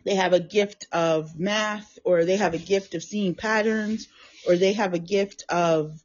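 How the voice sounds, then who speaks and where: A woman hosting her own talk show, speaking casually and rambling, with a hoarse, froggy throat. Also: mid-range voice, fairly even intonation, unhurried, highs cut off. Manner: chatty, rambling, repeats and restarts phrases. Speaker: podcast host. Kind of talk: casual solo talk on mindset and manifestation.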